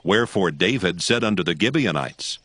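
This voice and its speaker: A man narrating scripture from a script in a measured, solemn manner. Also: deep voice, expressive, fairly bright tone, dry recording. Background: background music.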